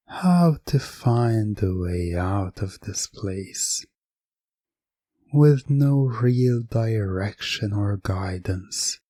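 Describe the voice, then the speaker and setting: Hushed, deep-voiced man narrating slowly. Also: no echo, studio quality. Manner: hushed, breathy at times, suspenseful. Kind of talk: second-person scripted narration, hypnosis ASMR.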